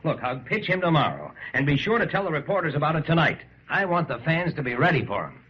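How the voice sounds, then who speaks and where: An actor playing a hearty, good-natured big star ballplayer in an old radio drama. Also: male voice, fairly high pitch, big pitch swings, quick pace, breathy edge. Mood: earnest, insistent. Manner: stammering when flustered, chuckling when pleased. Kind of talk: 1930s-set radio drama acting. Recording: dull, lo-fi old radio recording.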